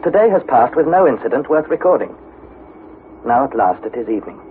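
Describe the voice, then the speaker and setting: A man's voice: medium pitch, very animated, brisk, lightly acted. An actor playing a fearful young male explorer, narrating his expedition journal dramatically in a 1960s radio drama. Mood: uneasy, hushed. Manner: dramatic, suspenseful, articulate delivery. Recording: tinny, hissy vintage 1960s radio recording.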